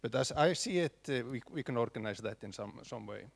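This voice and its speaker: Halting man with a gravelly voice. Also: mid-range voice, animated. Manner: halting, many restarts and fillers. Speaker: conference audience member. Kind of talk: spontaneous comment from the floor.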